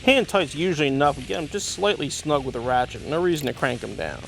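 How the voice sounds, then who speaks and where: A man presenting an instructional product demonstration as a tutorial host, explaining things clearly step by step. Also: on the high side, fast, over music, rough recording. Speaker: host of car parts installation tutorial.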